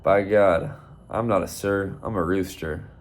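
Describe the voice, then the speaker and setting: A man's voice: sits low, steady tone, a little slow, slight background noise. A man doing a blustering rooster seeker. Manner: bold, exclaims "by God". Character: blustering male rooster on a spiritual quest.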